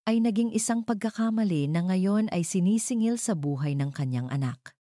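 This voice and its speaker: Low-pitched woman narrating a dramatic story. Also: mellow, dry recording, studio quality.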